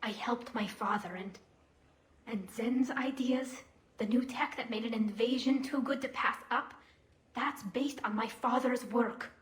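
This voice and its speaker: A woman's voice: fairly high pitch, unhurried. An actress voicing a young female alien admiral, earnest and self-doubting, through a helmet filter in a video game. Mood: anxious, vulnerable. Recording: roomy, faint ambient noise.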